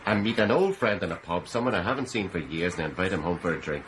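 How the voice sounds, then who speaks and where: An actor playing a middle-aged, plain-spoken, defensive British working husband in a radio drama. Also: male voice, low-pitched, expressive, fast, fairly bright tone, a little hiss or hum. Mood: defensive, irritated.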